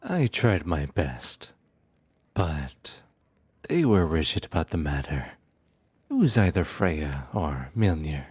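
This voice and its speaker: A man's voice: a bass voice, very animated, takes it slowly, a little mellow, breathy edge, no echo, full character voice. A man acting in a fantasy audio roleplay as a sly, teasing trickster god, theatrical and mock-polite. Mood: sly, persuasive.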